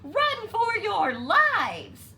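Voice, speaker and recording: Storybook hen, acted by a woman: small, panicky, very high and piercing, wailing in alarm. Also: wildly swooping pitch, very slow, pushed and bright, slight background noise, exaggerated voice. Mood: panicked. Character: frantic, alarmed little female chick and hen.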